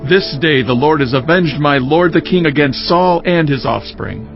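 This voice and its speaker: A man's voice: fairly high pitch, normal speed, creaky. An actor playing a boastful assassin addressing his king in a dramatized scripture audio drama, proud and declamatory. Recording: dull audio with music behind.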